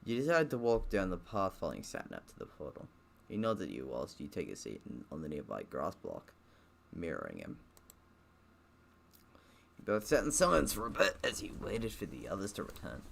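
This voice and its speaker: Low, creaky-voiced man reading a game aloud. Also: huge pitch swings, normal speed, a bit bright, slight background noise. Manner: breaks off reading for sarcastic, grumbling asides. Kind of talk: let's play commentary, reading visual novel text aloud.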